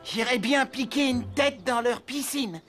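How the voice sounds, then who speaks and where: Playful little cartoon sidekick, kinda high n slow, music behind. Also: female voice. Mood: easygoing, playful. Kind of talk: cartoon voice acting.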